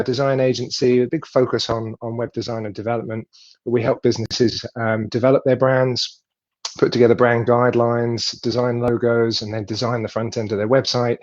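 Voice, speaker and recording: A man's voice: medium pitch, no echo. A friendly man in his thirties answers interview questions over a webcam, rambling and restarting as he goes. His voice comes through dull and dry on the call audio. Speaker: web design agency founder, guest. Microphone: webcam on video call.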